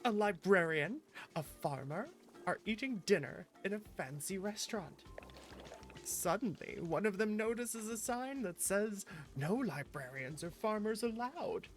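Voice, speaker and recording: Girl doing a jolly earnest shopkeeper guy for a cozy game, slow and kinda formal, soft music under it. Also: mid-range voice, lively intonation, natural voice. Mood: jovial, eager. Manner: slightly formal, quaint phrasing. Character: gentle, jolly, earnest male tea-shop keeper. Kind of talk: reading game dialogue in character.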